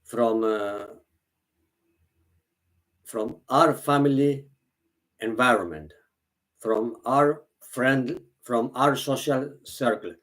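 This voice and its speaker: A man whose first language is Greek talks to his viewers in English at a slow, deliberate pace, with a pitch that sits in the middle of the range. He keeps pausing, repeating words and starting his phrases over. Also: a bit bright. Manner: halting, repeats and restarts phrases, non-native grammar. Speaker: vlogger. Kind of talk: slow, earnest monologue to camera.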